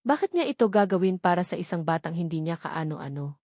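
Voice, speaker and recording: A woman reading a scripted dramatic story as narrator, in a measured storytelling delivery. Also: lowish voice, lively intonation, warm tone, no echo, clean studio sound.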